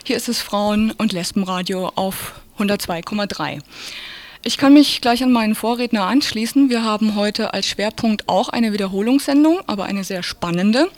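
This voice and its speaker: A woman's voice: medium pitch, normal speed, dry recording. A woman presenting a radio programme and reading out announcements in an informal way. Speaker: radio host.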